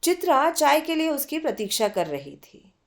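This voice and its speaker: A woman reading a short story as an expressive scripted narrator. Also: high voice, normal speed. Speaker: story reader.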